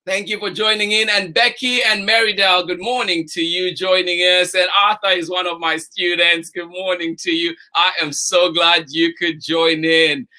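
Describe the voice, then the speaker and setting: A man's voice: high-pitched, flat delivery, normal speed, hardly any pauses, pushed and bright. A man hosting a children's story time livestream, greeting viewers by name in an exuberant, effusive manner.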